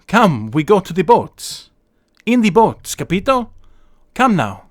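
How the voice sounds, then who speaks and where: Man playing earnest young Italian, animated high voice. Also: measured pace. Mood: urgent. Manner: broken English mixed with Italian words. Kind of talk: audio drama acting.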